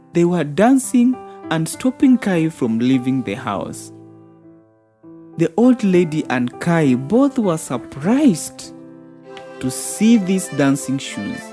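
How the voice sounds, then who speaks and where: A woman narrating a scripted fairy tale for children as a storyteller. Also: low voice. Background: soft background music.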